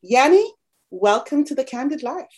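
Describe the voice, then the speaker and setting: A woman hosting a podcast, introducing her guest and asking questions in a measured way. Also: high voice, sing-song, darkish tone. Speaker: podcast host.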